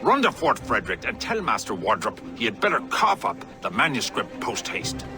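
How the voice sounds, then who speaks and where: A man playing a suave, menacing, haughty gentleman villain in a video game, with pompous, theatrical delivery. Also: medium pitch, wildly swooping pitch, moderate pace, over music.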